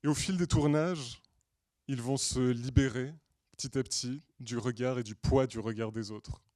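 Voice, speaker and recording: A man with a mid-pitched, dry-sounding voice delivers a prepared story pitch. He is from Portugal and speaks in earnest, deliberate phrases. Hesitant pauses break up his storytelling. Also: steady tone, a little mellow, dry recording. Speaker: screenwriter and director pitching a feature film. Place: screening room at a film school.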